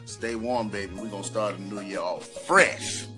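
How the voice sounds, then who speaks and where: A man hosting a podcast, chatting casually with an animated, hyped-up delivery. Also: fairly low pitch, normal speed, over loud music. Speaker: podcast host.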